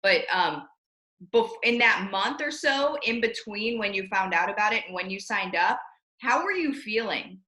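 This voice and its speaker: Peppy woman host, bright and forceful voice, echoey room, casual interview chat. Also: mid-range voice, animated, normal speed. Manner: peppy, addresses viewers as "you guys".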